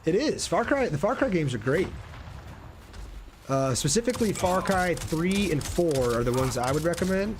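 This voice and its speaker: A man's voice: a little high, very animated, normal speed, background noise. A man doing casual live gameplay commentary and chatting with viewers in a laid-back, slangy way. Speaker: game streamer.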